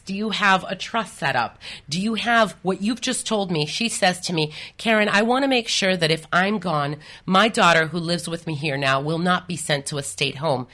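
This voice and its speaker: A woman co-hosting a radio talk show, telling stories in a lively, animated way with bursts of laughter, in a radio studio. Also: fairly low pitch. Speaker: co-host, financial planner.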